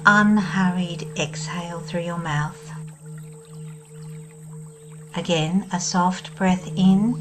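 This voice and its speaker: Female meditation guide: calm, soothing, very slow delivery, faint music bed. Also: lowish voice. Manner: soft, slow and soothing, with long pauses.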